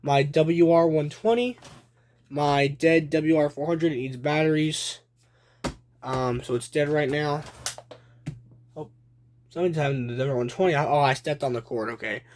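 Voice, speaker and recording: A young adult man giving a casual show-and-tell narration on a phone at home, listing items deliberately in short phrases. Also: on the high side, darkish tone. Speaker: hobby collector presenting a video. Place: small room at home. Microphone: handheld phone behind the camera. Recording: noisy handheld home video with clicking.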